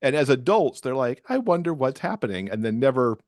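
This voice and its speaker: A man's voice: medium pitch, big pitch swings, brisk, darkish tone, no room sound, studio quality. An American man from Michigan speaking casually as an interview guest, explaining things in a chatty way. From Michigan, USA. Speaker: podcast guest, romance author.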